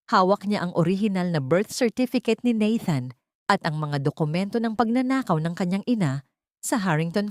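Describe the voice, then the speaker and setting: A woman narrating a scripted audio story in a deliberate, suspenseful, theatrical way. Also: fairly low pitch, a little mellow, no echo, clean studio sound.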